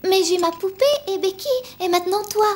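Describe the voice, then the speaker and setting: A woman voicing a gentle, dreamy and brave orphaned schoolgirl in a French cartoon dub, speaking with earnest sincerity. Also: very high voice, fairly even intonation, a little fast. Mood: tender, wistful.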